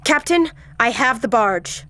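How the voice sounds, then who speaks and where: A woman acting a dutiful starship officer in an audio drama, reporting in brisk, formal military manner. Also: a little high, lively intonation, sharp tone. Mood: urgent, dutiful. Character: crisp, dutiful female starship bridge officer.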